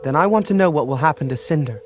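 A man's voice: a little high, lively intonation, fast, faint music behind, lightly acted. A man voicing a young male dragon hero in a video game, earnest, youthful and determined. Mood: urgent pleading. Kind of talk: video game cutscene acting.

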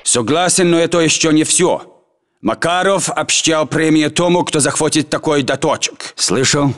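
A man's voice: on the high side, moderate pace, a bit bright, natural voice. Man playing grumbling Russian soldier, lightish voice. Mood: conversational. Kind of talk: video game military voice lines.

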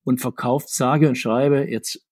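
A man talking conversationally as an interview guest, talkative and often correcting himself, with a husky voice. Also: mid-range voice, fairly even intonation, takes it slowly, no room sound, studio quality. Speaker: guest, comics author.

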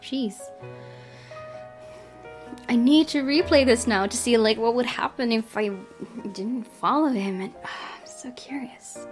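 Young woman, fairly high voice, unhurried, let's player reading aloud, clear music behind. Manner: giggly, exclamatory, self-correcting. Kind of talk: reading a visual novel aloud with casual reactions.

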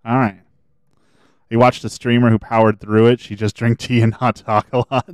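A man streaming a video game, chatting casually and thinking aloud as he plays, his voice a little hoarse. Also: mid-range voice, flat delivery, normal speed, a little mellow, no echo, studio quality. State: slightly hoarse. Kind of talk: casual gameplay commentary.

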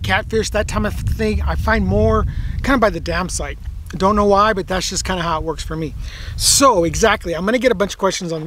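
A man's voice: sits high, a little hiss or hum. A man in his forties talking casually to viewers while bank fishing on a desert lakeshore, recorded on an action camera. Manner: relaxed, chatty, talks to viewers as crew. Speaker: fishing vlogger. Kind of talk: casual outdoor commentary.

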